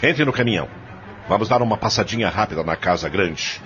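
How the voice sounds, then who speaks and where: A very deep, menacing villain of an audio drama, acted by a man. He is domineering and scornful, barking orders with big swings in pitch. The recording sounds dull. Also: animated, brisk, a bit bright, faint music behind. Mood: vengeful, menacing. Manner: menacing, contemptuous, commanding. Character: domineering, vengeful criminal eldest brother, farm heir.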